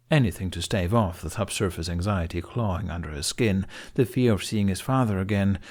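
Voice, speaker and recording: A man's voice: low voice, darkish tone. A man reading a fantasy story aloud as dramatic scripted audiobook narration.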